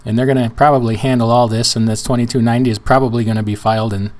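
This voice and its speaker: Hoarse man explaining a tax form. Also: mid-range voice, level tone, rapid-fire, darkish tone. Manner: casual, rambling with restarts and fillers. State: hoarse, weak voice. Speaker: trucking channel host. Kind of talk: screen-share tutorial walkthrough.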